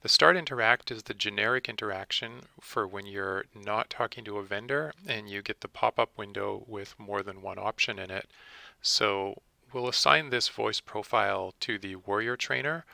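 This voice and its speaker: Male tutorial presenter, methodical, creaky low voice, dry close recording. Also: normal speed, bright, forceful tone, dry recording. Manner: methodical, explanatory. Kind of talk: step-by-step screen tutorial narration.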